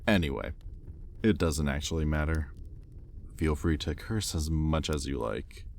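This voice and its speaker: Demon captor for audio roleplay, male actor: very deep pitch, sardonic and teasing, arrogant, curious, intimate delivery, slight background noise. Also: measured pace, creaky, darkish tone, exaggerated voice. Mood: amused, teasing. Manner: sardonic, teasing, conversational with swearing.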